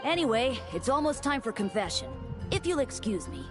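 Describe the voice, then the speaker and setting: A woman voicing a courteous, gently teasing young deacon, devout and polite, in a video game over music. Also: a little high, big pitch swings, brisk. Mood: breezy.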